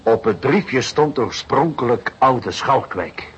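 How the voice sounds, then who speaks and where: An actor playing a patient, fatherly, wry police detective in a Dutch radio play, speaking in deliberate, musing phrases. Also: medium pitch, expressive, fairly bright tone, a little hiss or hum. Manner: deliberate, hesitant musing with repeated assents. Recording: muffled radio drama recording.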